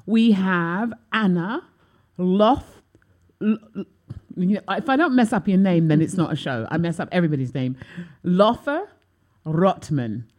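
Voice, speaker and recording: A woman hosting a talk show, chatting casually with quick jokes and frequent back-channel affirmations. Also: on the low side, animated, darkish tone. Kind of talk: casual interview chat.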